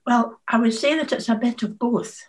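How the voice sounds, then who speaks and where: Measured woman author. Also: fairly high pitch, steady tone. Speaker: author, guest. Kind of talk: casual interview answers.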